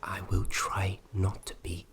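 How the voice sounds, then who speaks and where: A man's voice: low voice, monotone, a little slow, background noise. A man playing a fussy, apologetic, well-meaning fairy friend in a fantasy ASMR roleplay, hesitant and often dropping into whispers. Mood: apologetic, helpful.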